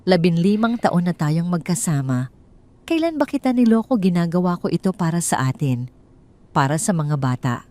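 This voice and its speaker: A woman voicing a calm, calculating wife who reassures and persuades her husband in an audio drama. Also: fairly low pitch, moderate pace. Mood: pleading pressure.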